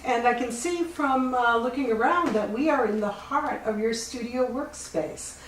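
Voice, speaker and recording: A woman's voice: a little high, moderate pace. A woman hosting an arts interview in a studio, speaking to her guest and audience in a gracious, presenter-like way. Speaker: host, interviewer. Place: artist's studio workshop. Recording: echoey, distant, clearly noisy workshop recording.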